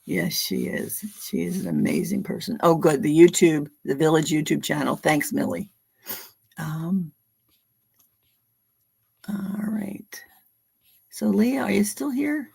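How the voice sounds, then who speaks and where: Woman, Philadelphia accent, very gravelly, husky tone, chatty tarot reader, lots of ums. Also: low voice, big pitch swings, slow, long pauses, darkish tone. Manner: chatty and affectionate, with frequent ums and restarts. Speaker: tarot card reader hosting a livestream. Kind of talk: casual livestream card readings.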